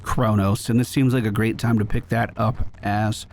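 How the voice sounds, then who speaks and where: A man's voice: lowish voice, steady tone, moderate pace, a little mellow. A male gaming streamer giving casual live commentary, chatting and thinking aloud as he plays. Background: game music.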